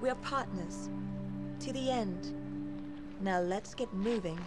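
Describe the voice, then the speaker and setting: A woman's voice: mid-range voice, slow pace, lightly acted. An actress playing a resolute, guarded young female agent in a video game cutscene, earnest and firm. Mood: steady, resolute. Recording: game audio under loud music.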